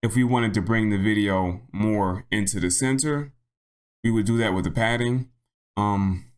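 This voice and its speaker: Male tutorial host, lowish and a little hoarse, informal walkthrough delivery that thinks out loud. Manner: thinks aloud, repeats himself. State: slightly hoarse. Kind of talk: casual unscripted software tutorial narration.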